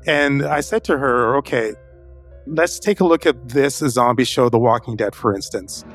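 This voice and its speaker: A man's voice: medium pitch, normal speed. Casting: male interview host, mid-range voice, chatting casually with light music underneath. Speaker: podcast host. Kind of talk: conversational interview.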